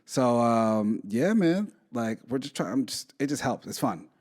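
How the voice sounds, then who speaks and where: A man's voice: mid-range voice, lively intonation, measured pace, darkish tone. A man co-hosting a casual podcast, chatting in a rambling way with lots of restarts. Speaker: podcast co-host. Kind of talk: casual chat.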